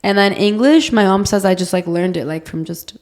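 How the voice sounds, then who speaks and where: A woman's voice: mid-range voice, fairly quick, darkish tone. A young woman whose first language is Portuguese, co-hosting a podcast in casual, playful, informal chat. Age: young adult. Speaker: podcast co-host.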